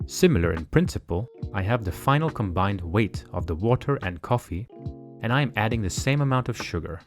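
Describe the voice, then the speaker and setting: Guy with a lowish voice calmly walking thru a kitchen recipe, soft guitar music under it. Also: a little fast. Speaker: cooking channel host. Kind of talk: calm instructional cooking voice-over. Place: home kitchen.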